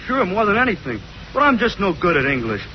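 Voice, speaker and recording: An actor playing a slow-witted, good-natured teenage schoolboy in a 1940s radio sitcom, guileless and dopey. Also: male voice, high voice, sing-song. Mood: muddled, glum. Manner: dopey, guileless, unschooled grammar. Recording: noisy muffled old radio recording.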